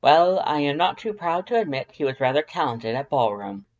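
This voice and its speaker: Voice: mid-range voice, sing-song, normal speed, highs cut off, lightly acted. A reader voicing a pompous, theatrical, proud male skeleton with fussy formal diction in an audiobook story. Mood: smug, declaiming.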